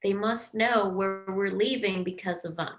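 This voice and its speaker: A woman's voice: mid-range voice, a little slow, soft background music, lightly acted. A woman reading the part of a bold, caring young girl who speaks directly and kindly, in a play table read. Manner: direct and friendly.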